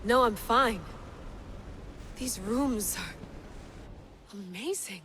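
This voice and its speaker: An actress playing a bold, wry young hacker-explorer in a video game, informal and confident. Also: female voice, medium pitch, very slow, a bit bright, slight background noise. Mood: awed, determined. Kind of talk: naturalistic video game acting. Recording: music behind the voice.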